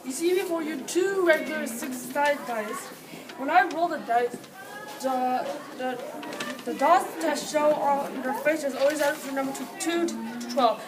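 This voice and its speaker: A female reader playing a proud king who runs a contest, reading the script haltingly. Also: sits high, background noise. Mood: commanding. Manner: halting reading with repeated words. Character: proud male king running a contest of wits. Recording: distant mic, audience chatter.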